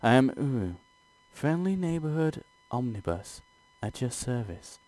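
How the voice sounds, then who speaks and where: A man's voice: mid-range voice, sing-song, a little slow, darkish tone, dry recording, voice pushed up. A man voicing a seductive, theatrical female demon succubus with a coy, sultry delivery. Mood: cooing.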